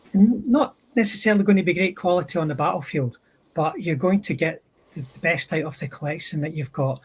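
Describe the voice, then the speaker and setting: A man's voice: on the high side, breathy edge. A man on a livestream panel giving casual, explanatory commentary.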